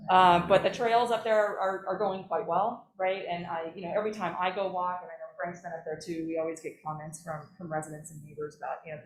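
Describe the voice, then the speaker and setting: A woman's voice: fairly low pitch, level tone, a little fast, hardly any pauses. A woman giving an informal update at a council meeting, recorded in an echoey meeting room. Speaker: presenter reporting to a village council.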